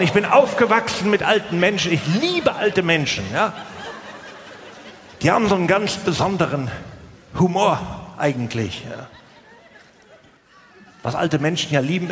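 A man's voice: high-pitched, fairly bright tone. A middle-aged man telling stand-up comedy stories in a colloquial way, with frequent tag questions. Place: live stage in a large hall. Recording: reverberant hall, audience, faint noise. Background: audience laughter.

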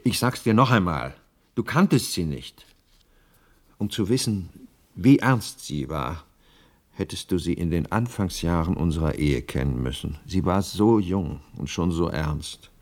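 A nervous, devoted widower in a play. His voice sits on the low side, and he speaks with solemn, hesitant insistence, touchy and full of grief. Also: expressive, unhurried. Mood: mournful. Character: anxious grieving widower, solemn, touchy, devoted to dead wife. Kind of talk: radio play dialogue.

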